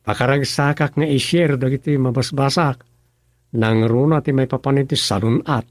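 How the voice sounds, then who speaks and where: A man's voice: mid-range voice, fairly even intonation, moderate pace, no echo, studio quality, natural voice. An actor with an Ilocano accent playing an easygoing, busy rural councilman in a radio drama, talking conversationally with frequent interjections. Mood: lighthearted. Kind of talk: radio serial drama acting.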